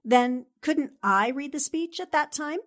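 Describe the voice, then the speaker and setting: A woman playing a brisk, determined newspaperwoman sleuth in a 1940s-style radio drama, with a commanding manner. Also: high voice, lively intonation, a bit bright. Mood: firm, persuasive. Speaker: actor in an amateur radio drama re-creation.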